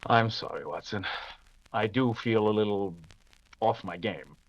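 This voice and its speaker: A man's voice: fairly low pitch, unhurried, no room sound, lo-fi sound, lightly acted. A man playing a composed, dismissive, wry detective in an old-time radio mystery, urbane in manner. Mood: weary, irritable.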